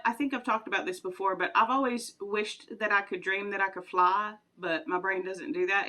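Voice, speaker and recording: Chatty woman in her forties, bright voice, rambling and giggly vlog talk. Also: mid-range voice. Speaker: vlogger. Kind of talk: casual chat answering would-you-rather questions.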